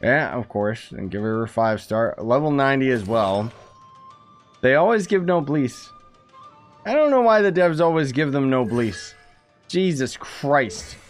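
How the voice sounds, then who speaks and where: Guy streaming a game, sarcastic joking commentary, normal pitch, faint game music behind. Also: expressive, a little slow. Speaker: gaming streamer. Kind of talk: casual gameplay commentary.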